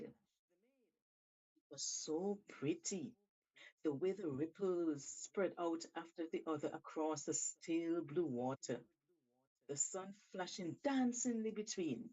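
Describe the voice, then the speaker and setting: A woman storyteller reading a tale aloud with deliberate, theatrical emphasis. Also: deep voice, dark tone, muffled recording. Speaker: storyteller, show host. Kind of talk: expressive scripted storytelling.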